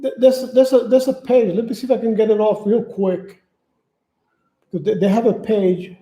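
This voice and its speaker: Halting high-voiced man with a dark tone. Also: steady tone. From Manhattan, New York, United States. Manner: halting, stumbles and repeats words often. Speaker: channel host appealing for donations. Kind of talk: casual unscripted livestream talk.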